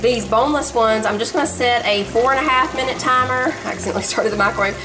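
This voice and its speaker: A woman's voice: a little high, quick pace, low-quality recording. A woman with a Southern US accent, chatting casually in a folksy way as she narrates a home cooking vlog. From Southern United States. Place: home kitchen.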